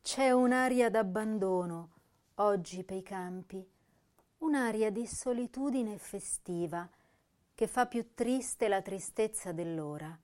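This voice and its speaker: Woman playing young female poet for stage recitation: mid-pitched, very unhurried, melancholy, emotive, deliberate phrasing. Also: a little mellow, close to an everyday voice. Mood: melancholy, self-conscious. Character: sensitive, melancholy young woman poet speaking her verse. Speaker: actress reciting poetry. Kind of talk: dramatic poetry recitation.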